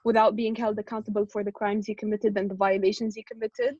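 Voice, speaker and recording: A woman's voice: mid-range voice, flat delivery, quick pace, warm tone, no echo. A woman in her twenties sharing her opinions casually as a participant in a group discussion. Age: 20s. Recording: dry, dull online call audio.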